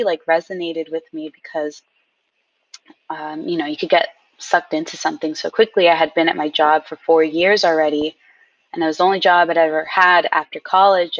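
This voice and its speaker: A woman guest telling stories in a casual interview, animated and acting out what people said. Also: lowish voice, no room sound, distant mic, dull audio. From Queens, New York. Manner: animated storyteller, acts out quotes. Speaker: guest, game company founder.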